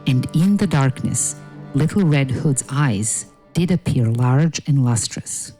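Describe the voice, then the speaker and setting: Female narrator for a dark fairy-tale audio play: slow, deliberate delivery over soft music. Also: extremely low pitch, lively intonation, poor audio. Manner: measured, deliberate storytelling.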